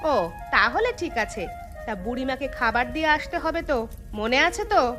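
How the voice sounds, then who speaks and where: A village housewife and mother from a cartoon, acted by a woman. Her voice is fairly high, brisk and expressive, with a practical, nagging edge. Music sits underneath. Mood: businesslike. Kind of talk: cartoon dialogue acting.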